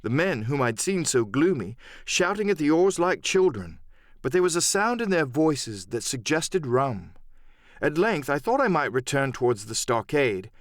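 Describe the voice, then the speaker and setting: A man's voice: on the high side, sing-song, moderate pace, no room sound, studio quality. A man reading a first-person adventure story as a dramatic scripted audiobook narration.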